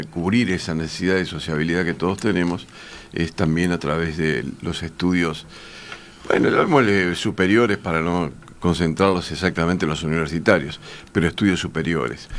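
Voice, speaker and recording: A man's voice: sits low, animated, moderate pace, a little hiss or hum. A Uruguayan man, a university teacher, speaking in a panel discussion with frequent hesitations and restarts. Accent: Uruguayan Spanish. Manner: hesitant, many fillers and restarts.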